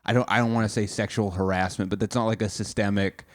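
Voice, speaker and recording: A man with a lowish voice hosts a casual podcast chat, quick-witted and teasing as he questions his guest. The sound is close and dry, with no room around it. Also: fairly even intonation, no room sound. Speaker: podcast host.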